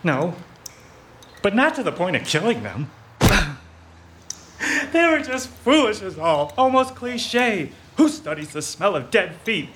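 A man's voice: high-pitched, sing-song, slow pace, fairly bright tone, exaggerated voice. A man acting a put-upon detective's sidekick who turns coolly menacing, with a theatrical, sardonic delivery, in a hard-boiled comic audio drama. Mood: mocking, giddy. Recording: clear background noise with clicks.

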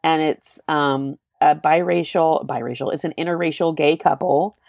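Woman podcast co-host, gravelly low voice, dry close sound, easygoing ramble. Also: fairly even intonation, moderate pace, darkish tone, dry recording. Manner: chatty, rambling, with fillers. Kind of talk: casual chat.

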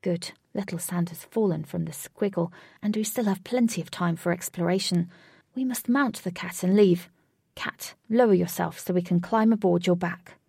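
A woman puts on a grumpy male companion from a storybook. He is curt, formal and drily sarcastic. The tone is dark and husky, with creak at the edges. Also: fairly low pitch, normal speed, no echo, studio quality. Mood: commanding. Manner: curt, disdainful, formal wording. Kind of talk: storybook character voice.

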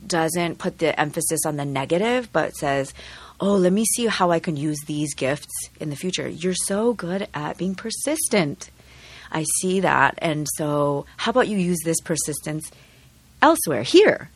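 Low-voiced chatty woman guest. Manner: chatty, hesitant, filler-heavy. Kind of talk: casual podcast discussion. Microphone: studio microphone.

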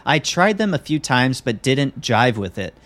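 A man's voice: medium pitch, sing-song, measured pace, fairly bright tone. A male podcast host with a mid-range voice, chatting casually. He talks in an easygoing, conversational way, as if to a co-host and regular listeners.

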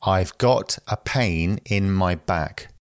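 A very low-voiced man reads short phrases at an unhurried, deliberate pace, as in a language course. The sound is close and dry, with no room around it. Each phrase comes out clean and evenly spaced. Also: no room sound. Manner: slow, careful, even delivery. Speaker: English phrase reader. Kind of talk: slow repeated phrase reading for learners.

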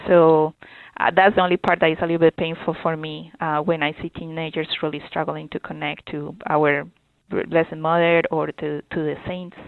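Earnest woman from Colombia, gravelly voice. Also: sits low, level tone, no room sound. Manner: earnest, halting, with many fillers and restarts. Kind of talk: interview answers. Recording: dry, phone-like narrow sound.

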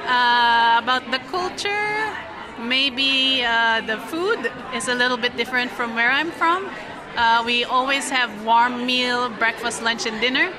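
A woman's voice: fairly high pitch, a little slow. A woman from the Philippines giving short, friendly answers in a street interview. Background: music and crowd.

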